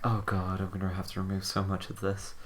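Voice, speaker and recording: Very low-voiced woman, casual chat. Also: completely flat delivery, a crowd in the background. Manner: halting, filler-heavy, repeats words. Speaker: podcast co-host.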